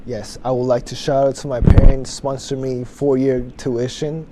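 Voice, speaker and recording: A man's voice: medium pitch, little pitch movement, moderate pace, very noisy. A young man with a Japanese accent, talking conversationally as a student guest in an interview. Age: young adult.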